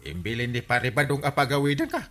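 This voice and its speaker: An actor playing a bumbling, forgetful village captain in an Ilocano radio comedy, stammering and exclaiming in a flustered way. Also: male voice, medium pitch, sing-song, fast talker, a bit bright. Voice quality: stammering, hesitant speech with many eh's.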